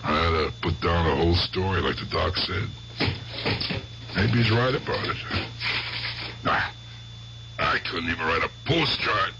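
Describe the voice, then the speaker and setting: An actor playing an aging, guilt-ridden gangster in a radio drama, with rough, halting American speech. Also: male voice, deep voice. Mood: muttering, agitated. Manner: rough colloquial speech, halting, muttering to himself. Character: aging guilt-tormented gangster, gruff but fond uncle. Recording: dull, noisy old radio drama recording.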